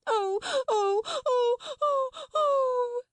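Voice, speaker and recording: A woman voicing a spoiled, crying very small girl with a shrill voice in a storybook reading. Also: steady tone, a little slow, a bit bright, no room sound, heavily acted. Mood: wailing.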